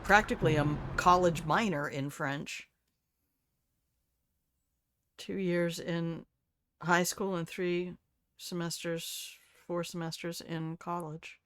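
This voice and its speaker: Older woman, low chatty voice. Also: steady tone, measured pace, a bit bright. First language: Afrikaans. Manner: chatty, rambling asides, reacts aloud to the game. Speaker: gaming streamer. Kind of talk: casual gameplay commentary.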